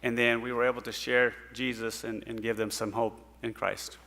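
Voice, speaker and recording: A middle-aged man from Puerto Rico giving an earnest missionary report to a church congregation, pausing often with fillers. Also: medium pitch, level tone, vocal fry, sharp tone. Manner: earnest, storytelling, pauses with fillers. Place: church sanctuary stage. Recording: hall reverb in a church.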